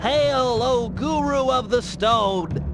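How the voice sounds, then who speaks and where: A man's voice: very high voice, takes it slowly. A man voicing a big, childlike, eager hippo strongman with a theatrical, grandiose delivery in a cartoon video game. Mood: grandiose, reverent. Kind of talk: animated video game dialogue. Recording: loud music behind.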